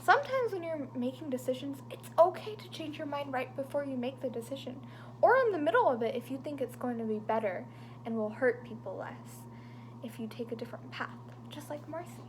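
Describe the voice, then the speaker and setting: Animated, fairly high-voiced woman reading aloud. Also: a little fast, a little hiss or hum, low-quality recording. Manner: animated, drops to whispers for suspense; chatty asides to young listeners. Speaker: librarian reading to children. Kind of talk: reading a picture book aloud.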